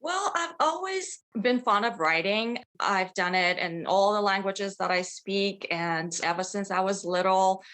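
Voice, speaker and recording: A woman's voice: mid-range voice, expressive, measured pace, few pauses, a bit bright. A middle-aged woman, a native Bulgarian speaker from Bulgaria, answering interview questions as a children's author in an enthusiastic way, laughing often.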